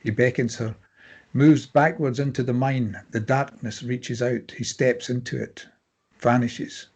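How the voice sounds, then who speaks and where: Husky male screenplay narrator. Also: mid-range voice, steady tone, a little slow, a little mellow. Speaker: narrator reading stage directions.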